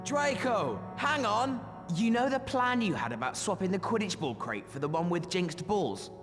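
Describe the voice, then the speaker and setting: An actor playing an eager, scheming schoolboy bully's sidekick in a video game, excitable and gloating. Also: male voice, high voice, normal speed. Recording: long hall reverb. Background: music.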